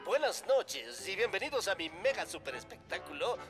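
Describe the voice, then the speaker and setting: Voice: mid-range voice, very animated, moderate pace, loud music behind the voice, natural voice. A performer voicing a showy banana variety-show host in a Latin American Spanish cartoon dub, with jokey patter.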